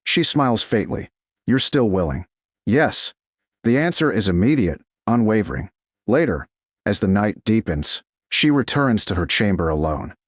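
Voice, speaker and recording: A man reading a romance story in a slow, measured narration style. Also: fairly low pitch, sing-song, no room sound, studio quality.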